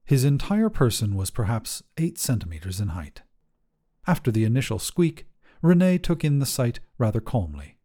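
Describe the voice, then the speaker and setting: A man's voice: mid-range voice, sing-song, moderate pace, warm tone. A man narrating a scripted short story as a fiction podcast reader.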